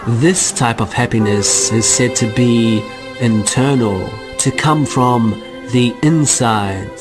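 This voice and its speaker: A man narrating a radio drama in a measured, wry, mock-scholarly way. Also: medium pitch, music in the background. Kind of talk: scripted narration.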